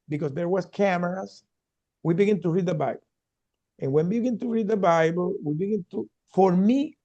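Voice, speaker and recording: A man's voice: on the high side, gravelly, warm tone, lo-fi sound. A man from Venezuela, telling his story earnestly as a keynote speaker and often restarting his words. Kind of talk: storytelling talk on a video call.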